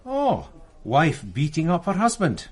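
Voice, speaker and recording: A weary, good-natured sergeant. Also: male voice, a little high, wildly swooping pitch, a little slow, husky, full character voice. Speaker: police detective sergeant. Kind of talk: radio drama acting.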